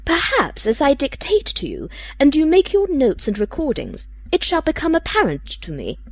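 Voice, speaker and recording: A woman voicing a secretive, haughty lady who deliberately dictates a gothic confession in an audiobook. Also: high-pitched, wildly swooping pitch, dry recording, heavily acted. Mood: brooding, imperious. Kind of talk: dramatic audiobook monologue.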